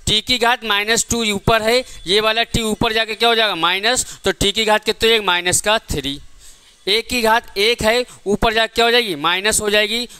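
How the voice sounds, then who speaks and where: Male teacher, high-pitched, bright smooth tone, step-by-step lecture, question-and-answer explaining. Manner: asks rhetorical questions and answers them himself. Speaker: physics teacher.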